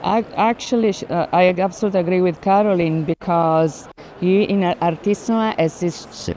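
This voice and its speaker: Woman guest chatting about art, non-native english, lowish voice, halting, some light background noise. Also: mellow, poor audio. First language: not English. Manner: halting, searches for words. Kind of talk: conversational art commentary. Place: indoor art fair, on the move. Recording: light ambient noise of a busy fair.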